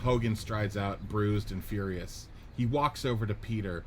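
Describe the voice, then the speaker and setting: A man narrating the stage directions of a radio play script in a steady, descriptive way. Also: on the low side, moderate pace, slight background noise.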